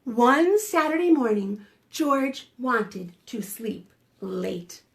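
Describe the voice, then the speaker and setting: A woman's voice: on the high side, sing-song, drawn-out words, vocal fry. A woman hosting a preschool storytime, reading aloud expressively and coaxing young listeners with questions. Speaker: children's librarian, storytime host. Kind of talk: animated read-aloud for preschoolers.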